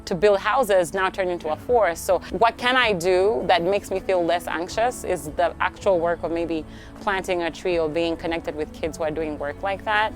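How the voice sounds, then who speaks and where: A young woman speaks in a lower register, reflective and chatty, with "like" scattered through her answers. She is recorded outdoors at a tree nursery, with faint music in the background. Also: moderate pace. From Uganda. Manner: reflective, chatty, often says "like". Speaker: guest, tree program coordinator. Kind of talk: casual interview answers.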